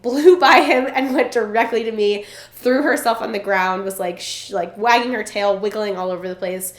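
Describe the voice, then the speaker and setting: A young woman with a stuffed-up, congested voice chatting casually to camera as a vlogger, bubbly and full of fillers, from Vermont. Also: medium pitch. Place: indoors at a relative's home. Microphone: camera in front while seated. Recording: roomy echo.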